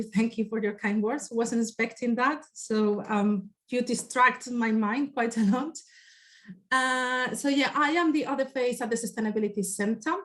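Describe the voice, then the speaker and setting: A woman in her thirties from Spain with a Spanish accent, giving a webinar talk with many fillers and restarts. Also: a little high. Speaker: sustainability staff member presenting. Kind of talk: webinar presentation. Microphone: video call.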